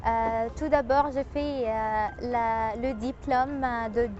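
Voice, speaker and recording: A young woman from Egypt, a French teacher whose first language is Arabic, answering interview questions with frequent pauses and fillers. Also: a little high, steady tone, darkish tone. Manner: hesitant, many pauses and fillers. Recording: dull lo-fi TV audio with faint music.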